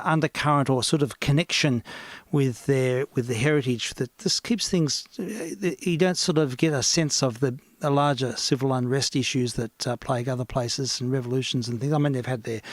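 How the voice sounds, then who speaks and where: A man with an Australian accent hosting a casual radio chat, speaking thoughtfully with many pauses, fillers and restarts. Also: medium pitch, dry recording.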